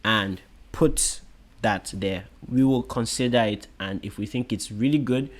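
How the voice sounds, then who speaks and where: A man co-hosting a casual chat with his wife, talking in an earnest, measured way with some gentle teasing. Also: fairly low pitch. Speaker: co-host of a married couple's channel.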